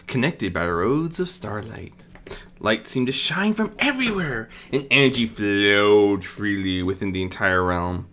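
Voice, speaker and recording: A storyteller narrates scripted fantasy prose. The delivery is expressive, with pitch that rises and dips a great deal and pauses placed for drama. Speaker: audiobook narrator.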